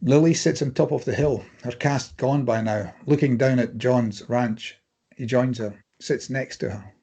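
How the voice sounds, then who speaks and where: A man's voice: mid-range voice, a little slow, dark tone, husky. A man reading stage directions as scripted narration for a drama table read.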